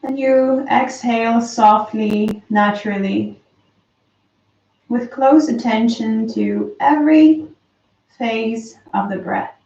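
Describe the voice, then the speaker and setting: A woman slowly and softly guiding a breathing meditation, calm with long pauses. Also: fairly high pitch, vocal fry. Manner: slow, soft, calm guidance with long pauses. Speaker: meditation teacher. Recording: roomy, distant, dull lo-fi sound.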